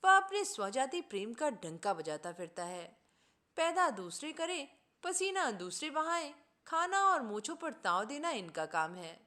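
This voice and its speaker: A woman's voice: high voice, big pitch swings, unhurried, bright, forceful tone, exaggerated voice. A woman voicing an indignant, idealistic man in a storybook reading, speaking in an earnest, formal, oratorical way. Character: idealistic, indignant man preaching justice and selfless service.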